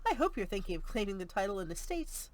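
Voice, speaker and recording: A woman voicing a cordial, sensible older gentleman, a father, in an audiobook, with a courteous, lively manner. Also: medium pitch, fast, close to an everyday voice. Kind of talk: audiobook character reading.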